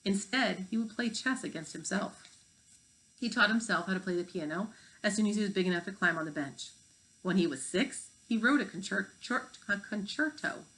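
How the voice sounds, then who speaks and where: A woman's voice: mid-range voice, lively intonation, normal speed, fairly bright tone, close to an everyday voice, rough recording. Woman as a proud, baffled mother. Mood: proud wonder.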